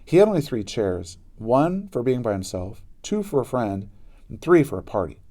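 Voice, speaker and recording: A man narrating a children's book aloud in an expressive style with dramatic emphasis. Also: mid-range voice, moderate pace. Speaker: storybook reader.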